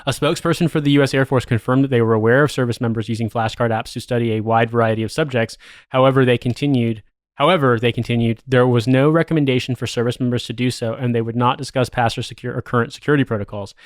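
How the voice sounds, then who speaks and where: A man in a mid-range voice reads a news article aloud in a measured, steady way, then chats in an amused, disbelieving tone. The mic is close and the room is dry, with no echo. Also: mid-range voice. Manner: measured reading, amused and incredulous in chat. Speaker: podcast host. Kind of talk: reading an article aloud, then casual chat.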